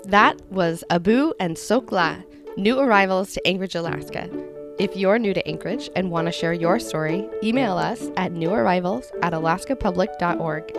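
A woman reads scripted narration as a radio host. Her low-to-middling female voice carries noticeable vocal fry, with music playing behind it. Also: moderate pace.

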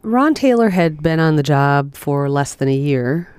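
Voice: mid-range voice, expressive, a little slow, a little mellow, clear, resonant tone, no echo, clean studio sound. A radio host asking questions and taking calls in a polite, conversational way. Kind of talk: radio interview and call-in.

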